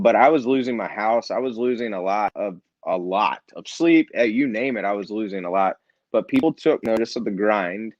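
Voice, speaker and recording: A man with a low-pitched voice tells his story in an interview. He is earnest and animated and acts out the people he quotes. The sound is dry and slightly dull, with no room around it. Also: no echo. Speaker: nonprofit founder and CEO.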